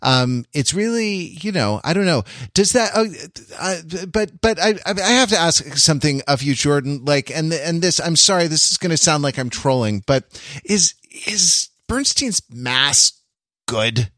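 A man co-hosting a casual film discussion, talking in a halting, digressive way and often interrupting himself. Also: on the high side, expressive, sharp tone, dry recording, clean studio sound. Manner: halting, digressive, self-interrupting, uses many hedging asides. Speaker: podcast co-host.

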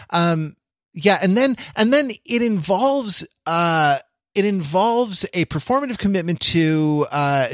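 A man co-hosting a podcast in casual analytical chat, halting and correcting himself as he thinks out loud. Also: mid-range voice, no room sound, studio quality. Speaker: podcast co-host.

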